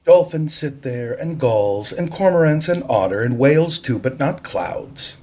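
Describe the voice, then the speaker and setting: A sensible, bossy twelve-year-old from a storybook, played by a man. The voice is lifted in pitch, with a firm, instructive manner. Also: lively intonation, a little slow, a little mellow. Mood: contradicting.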